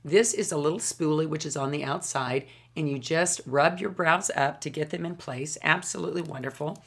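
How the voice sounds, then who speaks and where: A woman in her fifties with a low, hoarse voice from a lingering cold. She talks to the camera in a chatty, enthusiastic way, gesturing with her hands while she shows products in her home studio. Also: normal speed. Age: 50s. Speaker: beauty vlogger. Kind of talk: casual product review to camera.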